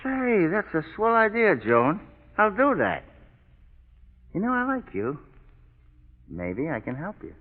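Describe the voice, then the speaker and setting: Cocky menacing gangster, tinny echoey radio. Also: male voice, sits high, huge pitch swings, takes it slowly, pauses often, full character voice. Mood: boastful, sly. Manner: slangy, sneering, tough-guy swagger. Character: cocky menacing male killer gangster, slangy and boastful. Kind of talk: 1930s-40s radio crime drama acting.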